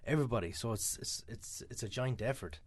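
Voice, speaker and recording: A man with an Irish accent, talking quickly and chattily as a radio guest, with many filler sounds. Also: medium pitch, animated. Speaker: guest from a mental health charity. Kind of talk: radio interview answers.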